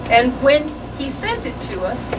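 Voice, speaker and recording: A middle-aged woman with a fairly high voice speaks informally as an author at a book reading. She rambles conversationally from one anecdote to another, often self-deprecating. She is picked up from a distance, under very loud room noise. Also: sing-song, unhurried. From northern United States. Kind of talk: informal, anecdotal talk to an audience. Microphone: far from the speaker.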